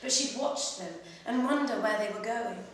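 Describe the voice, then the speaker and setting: A woman performing a literary short story at a live reading, in an expressive, measured way with pauses between phrases. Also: fairly high pitch. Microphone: distant microphone. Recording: very echoey room, distant mic, faint noise.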